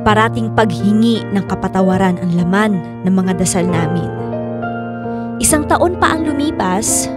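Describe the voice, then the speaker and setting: An actress with a Filipino accent playing a remorseful young woman who narrates her confession in a measured, sorrowful way for a radio drama. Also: medium pitch, lively intonation, natural voice. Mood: penitent. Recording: piano music behind the voice.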